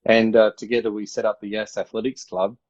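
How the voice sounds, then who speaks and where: A man in his fifties with an Australian accent, an athletics coach telling stories in a relaxed, rambling way over a video call. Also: fairly low pitch, fairly quick, no room sound, lo-fi sound. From country New South Wales, Australia. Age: 50s. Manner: relaxed, rambling, many uh fillers. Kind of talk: casual remote interview storytelling.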